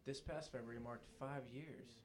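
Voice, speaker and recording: A man's voice: medium pitch, fairly even intonation, normal speed. Easygoing man, a guest on a podcast. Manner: easygoing, casual. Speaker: podcast guest, brewery co-founder. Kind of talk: casual chat. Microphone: desk microphone.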